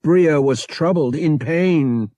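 A man's voice: on the high side, takes it slowly, mellow, no room sound, dull audio, voice pushed up. A man voicing a heartbroken young man in an audiobook, arguing bitterly with himself, his throat raw. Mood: anguished, conflicted.

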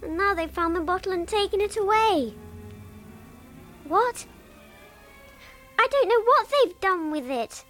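A woman's voice: very high-pitched, animated, measured pace, long pauses, fairly bright tone, soft background music, exaggerated voice. An actress playing an eerie, wilful young girl with a British accent and an intense, insistent way of talking, in a supernatural screen drama. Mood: anxious pleading.

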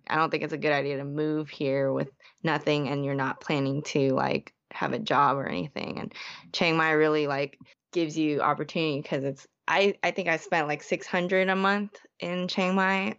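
A woman's voice: sits low, fairly bright tone, dull audio. A young woman from the Bay Area, a podcast guest chatting casually and saying "like" a lot. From San Francisco Bay Area. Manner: chatty, heavy use of "like", frequent self-restarts. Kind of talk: casual interview.

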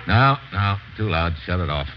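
A man playing a brisk, resourceful American investigator in an old-time radio drama, with a clipped, commanding manner. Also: low voice, sing-song. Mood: focused, businesslike. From United States. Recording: dull, noisy old radio recording.

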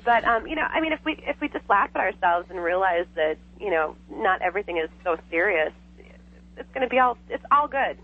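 A woman's voice: mid-range voice, expressive, fairly quick, breathy edge. A woman guest on a radio talk show, chatting casually over the phone, laughing often and filling her speech with "you know". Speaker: psychic guest. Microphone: telephone. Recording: tinny phone line.

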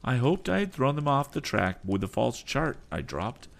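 A man's voice: medium pitch, big pitch swings, normal speed, creaky, pitched above the performer's own voice. A man voicing a firm, grimly warning sea captain in a storybook reading. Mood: advising. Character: gruff male sea captain, quietly threatening.

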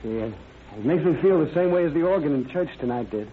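A man's voice: a little high, animated, fast, lightly acted. A man playing an earnest, boyish eighteen-year-old in a vintage radio drama. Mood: tender, eager. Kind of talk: 1940s radio acting. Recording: tinny vintage radio, hissy background noise.

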